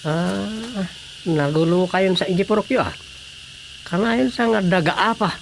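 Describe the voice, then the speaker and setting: A woman's voice: medium pitch, unhurried, vocal fry. A woman playing a nosy, incredulous villager in a Filipino radio comedy drama, questioning and exclaiming with a Filipino accent. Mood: curious, incredulous. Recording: noisy background with insect and animal ambience.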